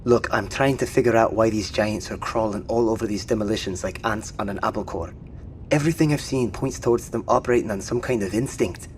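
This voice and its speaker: An actor playing a gruff, wary British Royal Marines sergeant in an audio drama, slangy and commanding. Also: male voice, lowish voice, brisk, slight background noise, lightly acted. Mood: wary, curious.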